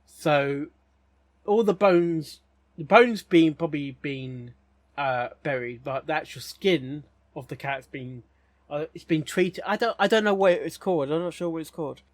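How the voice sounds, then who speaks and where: A man giving casual, rambling reaction commentary as a host, haltingly, often repeating words and phrases. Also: on the high side, dry recording.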